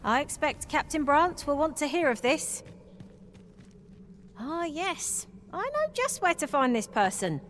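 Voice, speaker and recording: A woman's voice: high-pitched, very animated, unhurried, slight background noise, heavily acted. An actress playing a confident, spirited female adventurer companion in a fantasy video game. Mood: eager. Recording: loud game music over voice.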